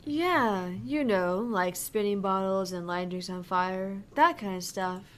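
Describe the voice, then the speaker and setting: Woman voicing an unhurried, cheerful young pop idol. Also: mid-range voice, close to an everyday voice. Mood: breezy, earnest. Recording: clear background noise.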